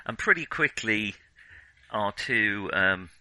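A man's voice: lowish voice, lively intonation, takes it slowly, sharp tone, no room sound, rough recording. A man co-hosting a podcast, chatting casually and enthusiastically about film.

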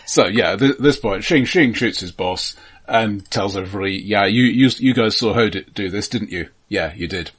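A man casually discussing a film as a podcast co-host, with a halting delivery full of repeated words and dry, understated humour. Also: fairly low pitch, fairly bright tone, husky.

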